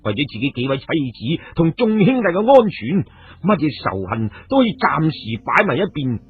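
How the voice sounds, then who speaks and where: A man's voice: a little high, big pitch swings, normal speed, breathy edge. A man telling a story in a theatrical, emphatic radio-narration style. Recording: muffled phone-like radio recording, music behind.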